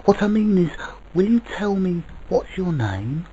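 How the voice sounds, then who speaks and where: A storyteller acting a high, pleading dream child. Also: sing-song, a little slow, warm tone, dull audio, a little hiss or hum. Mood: curious, pleading. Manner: plaintive, insistent questioning. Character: eager, wondering young dream child, a girl.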